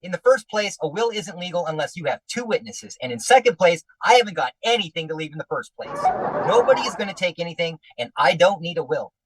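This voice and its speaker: An actor playing a grumpy, nervous husband in a 1940s-style radio comedy, sarcastic and grumbling with quick comebacks. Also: male voice, high voice, expressive, distant mic, slight background noise, rough recording. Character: grumpy, nervous middle-aged husband before surgery.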